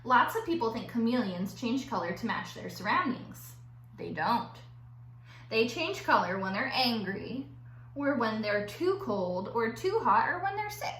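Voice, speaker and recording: Animated, high-pitched woman reading to children. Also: unhurried. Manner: animated, playful, drawing out words for emphasis. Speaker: teacher reading aloud to her class. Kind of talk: expressive children's read-aloud with chatty asides. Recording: roomy echo with faint background noise.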